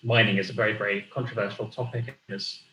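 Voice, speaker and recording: A young man introduces himself on a panel in a relaxed, slightly hesitant way. His voice is fairly low. The room is echoey, with faint background noise. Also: quick pace. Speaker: panelist, water engineer and student. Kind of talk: online panel discussion. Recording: roomy echo with faint noise.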